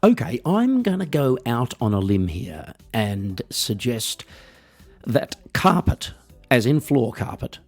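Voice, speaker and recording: An Australian man hosting a podcast, delivering a wry, rambling, mock-earnest comedic monologue with a husky voice. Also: mid-range voice, a little mellow. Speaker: podcast host.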